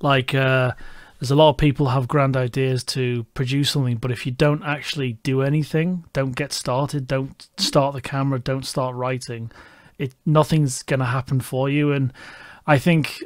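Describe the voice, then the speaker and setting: A man's voice: medium pitch, no echo, studio quality. A middle-aged man with a Scouse accent hosting a podcast interview, talking casually and rambling with many restarts. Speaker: podcast host.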